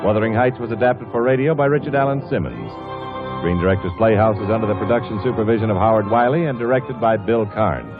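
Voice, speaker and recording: Low-voiced vintage radio announcer, man. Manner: formal, measured. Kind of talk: 1950s scripted radio announcing. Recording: tinny vintage radio broadcast. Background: music.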